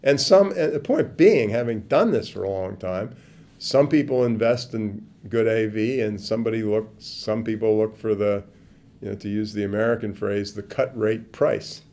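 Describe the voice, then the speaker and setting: An older man answering interview questions in a casual, animated way, on a lapel mic at an indoor event. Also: lowish voice, normal speed. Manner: animated, gestures with hands, swings from quiet to loud. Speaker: interviewee, business speaker. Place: indoor event interview corner before a branded backdrop.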